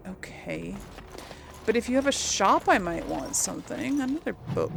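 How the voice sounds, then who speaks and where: A woman's voice: medium pitch, animated, moderate pace, vocal fry, fairly bright tone, a little hiss or hum. A woman doing casual let's play commentary, chatting and musing aloud as she plays. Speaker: game streamer, role-player. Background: game ambience.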